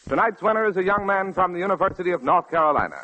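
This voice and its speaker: A man's voice: sits high, expressive, very fast, pushed and bright, slight background noise, rough recording. A man reading formal, polished scripted announcements on a 1940s radio broadcast.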